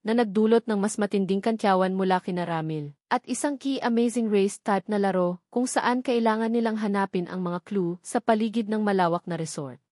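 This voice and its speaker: A woman's voice: mid-range voice, moderate pace, darkish tone, dry recording, clean studio sound. A woman narrating a scripted romance story as an audiobook storyteller.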